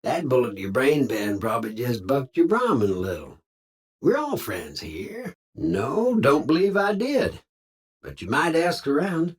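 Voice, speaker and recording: Husky southern cowboy robot from a game, super swoopy and jovial, kinda slippery. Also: male voice, medium pitch, sing-song, measured pace. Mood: low, wry. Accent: American Southern cowboy drawl. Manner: folksy slang, theatrical swooping delivery. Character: folksy, jovial cowboy robot drifter, friendly but slyly evasive. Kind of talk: video game character dialogue.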